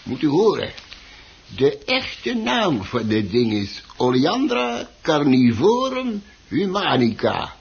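An actor in a radio play playing a chatty, grumbling plant shop keeper who hesitates, stammers and repeats himself. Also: male voice, medium pitch, wildly swooping pitch, slow pace, heavily acted. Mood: earnest. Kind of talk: Dutch radio play acting. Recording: dull, muffled old radio recording.

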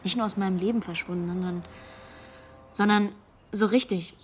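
A woman's voice: mid-range voice, fairly even intonation, normal speed, slight background noise, natural voice. An actress playing a frank, troubled young girlfriend giving testimony in a radio drama, blunt and confiding. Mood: wistful, anxious. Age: young adult.

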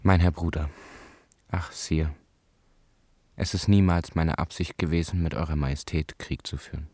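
An actor playing an imperious emperor, reading a formal, ceremonious letter in a husky voice for a radio drama. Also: male voice, rumbling low, fairly even intonation, moderate pace, darkish tone, no room sound. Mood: stately.

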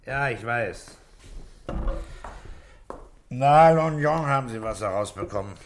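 An actor playing a calm, weary, middle-aged police commissioner in a German crime radio play, asking terse, unhurried, probing questions. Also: male voice, mid-range voice, a little hiss or hum. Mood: weary, dry.

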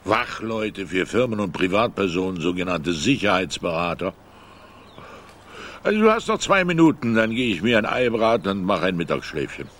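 Radio play casting: gruff, dismissive older man, retired guard, very lively intonation, faint noise behind. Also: deep voice, normal speed. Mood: grumpy, dismissive. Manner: brusque, grumbling, dismissive. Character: gruff retired old security guard with a dog. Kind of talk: radio drama dialogue.

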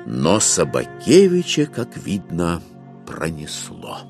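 An actor as the wry literary narrator of a classic novel in an audio drama. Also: male voice, sits low, wildly swooping pitch, slow, darkish tone, faint music behind, exaggerated voice.

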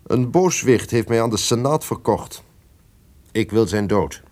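Scheming, powerful duke for radio drama: deep-leaning voice, menacing authority, stately archaic delivery. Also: male voice, expressive, a little slow, a little mellow. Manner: declamatory, archaic formal diction. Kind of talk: theatrical Dutch radio drama acting.

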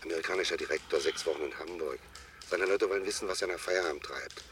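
Very deep-voiced, probing private detective. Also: male voice, fast, fairly bright tone, a little hiss or hum. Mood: eager, brisk. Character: businesslike, probing male private detective taking on a case. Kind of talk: naturalistic German radio drama.

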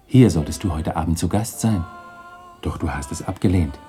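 An actor playing a grave, stern Christmas spirit in a radio play, making measured, solemn pronouncements. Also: male voice, deep voice, warm tone, faint music behind, natural voice. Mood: grave, guiding. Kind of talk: 1960s German radio play acting.

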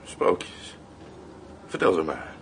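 A man's voice: slow pace, noisy background, lightly acted. A man playing a mocking, coarse, cold gangster henchman in a radio drama, taunting and threatening.

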